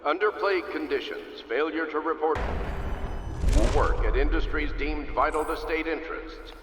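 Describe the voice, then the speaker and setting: An actor playing a stern authoritarian announcer in a video game, reading a formal, declamatory proclamation over a loudspeaker. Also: male voice, on the high side, normal speed. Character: stern male authoritarian public-address announcer. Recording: echoing distant loudspeaker, heavy noise.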